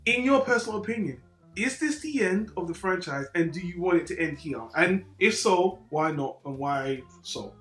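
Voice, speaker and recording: A man hosting a casual group film discussion, briskly steering it with questions. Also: sits high, expressive, a bit bright. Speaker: discussion host. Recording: echoey room, music behind.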